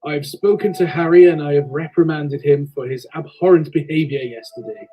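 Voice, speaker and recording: A man playing a stern, formal male railway manager who reprimands calmly. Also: a little high, normal speed, muffled recording, natural voice. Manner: calm, formal, reproving.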